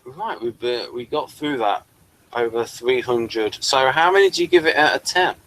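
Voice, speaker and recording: A man's voice: medium pitch, normal speed, fairly bright tone, a little hiss or hum, low-quality recording. A man hosting a casual, conversational review discussion. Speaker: podcast host.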